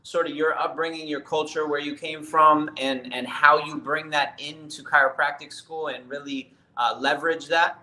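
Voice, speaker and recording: A man's voice: a little high, fairly even intonation, normal speed, few pauses, bright, forceful tone. A man in his thirties hosting an interview over a video call from home, asking long, thoughtful questions. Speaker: interview host. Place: home room, indoors. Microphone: laptop webcam video call. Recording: echoey room on video call.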